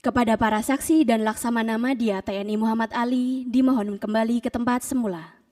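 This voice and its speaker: A woman announces an official state ceremony in a fairly high voice, picked up at a distance from the microphone. Her delivery is formal, solemn and measured, as scripted protocol announcements. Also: steady tone, a little mellow.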